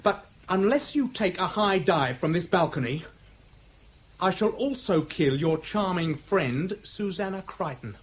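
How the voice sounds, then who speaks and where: A man's voice: high-pitched, lively intonation, a little slow, slightly rough, exaggerated voice. Vengeful British man with swooping, taunting delivery. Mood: cold menace. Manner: sardonic, menacing, clipped storytelling. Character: vengeful, taunting man bent on avenging his father. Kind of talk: BBC radio drama acting.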